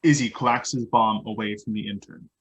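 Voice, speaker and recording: A woman's voice: rumbling low, fairly even intonation, moderate pace. A woman reading out stage directions as plain scripted narration for a play.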